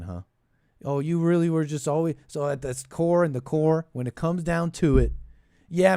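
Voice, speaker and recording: A man hosting a casual call-in show, thinking aloud in a halting, repetitive, meandering way. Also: on the high side, dark tone, dry recording, clean studio sound. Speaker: podcast and call-in show host. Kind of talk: rambling casual political commentary.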